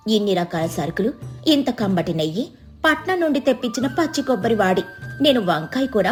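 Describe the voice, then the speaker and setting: Storybook young wife, woman narrating: boastful, confident, fairly high voice over faint music. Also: close to an everyday voice. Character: boastful, eager young village housewife. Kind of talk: storybook dialogue.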